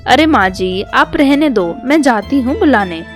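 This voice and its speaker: A woman's voice: on the high side, animated, a little slow, faint music behind. A woman voicing a sly, gossipy young daughter-in-law who feigns innocence in a storybook tale. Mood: sly tattling.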